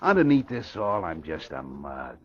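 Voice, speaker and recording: A man's voice: very deep voice, big pitch swings, moderate pace, a bit bright, full character voice. An actor playing a vain, brash racketeer with swaggering, slangy tough-guy talk in 1940s radio style. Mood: smug, bossy. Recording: muffled old radio recording, crowd noise.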